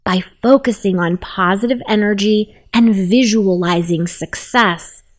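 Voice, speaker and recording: Slow, soothing woman guiding meditation. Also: a little high. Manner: soft, soothing and unhurried. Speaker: meditation guide.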